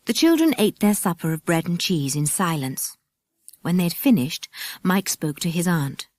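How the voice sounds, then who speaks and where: A woman's voice: on the low side, lively intonation, normal speed, darkish tone, dry recording. A woman reading scripted narration for a children's audiobook, close to a studio microphone.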